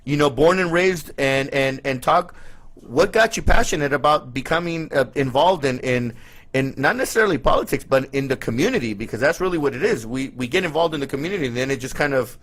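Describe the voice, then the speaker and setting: A man's voice: medium pitch, animated, brisk, a bit bright. A man hosting a live interview show, talking in an informal, conversational and effusive way.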